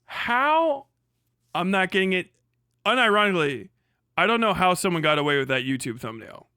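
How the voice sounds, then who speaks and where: A young man streams from home, reacting to what is on his screen with sardonic, profane disbelief and frequent laughter. His voice sits a little high and sounds bright and forceful. The sound is close and dry. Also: big pitch swings, normal speed, clean tone, no room sound. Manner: sardonic, swearing, laughing often, incredulous outbursts. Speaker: streamer host. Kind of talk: casual live-stream commentary.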